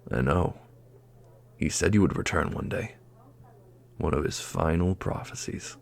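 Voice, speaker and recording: A man's voice: low voice, measured pace, gravelly. A man playing a grave, courteous old master in a fantasy audio drama, speaking formally and with reverence. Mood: awed, grave.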